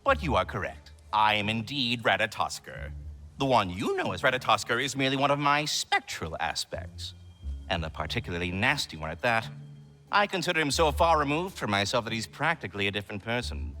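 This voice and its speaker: A man's voice: lowish voice, very animated, fairly quick, bright, forceful tone, faint music behind. A man voicing a chatty, refined squirrel in a video game, florid, pompous and effusively polite. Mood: genteel, chatty. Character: chatty, refined talking squirrel, fussy gourmet. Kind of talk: theatrical video game acting.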